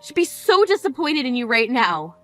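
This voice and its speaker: A woman's voice: on the high side, animated, fairly quick, fairly bright tone, soft background music. A woman playing a blunt, grieving older sister who scolds and questions in disbelief, in a scripted drama. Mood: incredulous. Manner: scolding, incredulous, cutting. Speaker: sister at a funeral.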